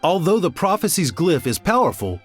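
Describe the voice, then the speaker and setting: A man's voice: high voice, big pitch swings, normal speed, husky, soft background music, full character voice. A man playing an earnest fantasy mage in a game, proclaiming ancient lore theatrically. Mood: portentous. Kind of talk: scripted game character acting.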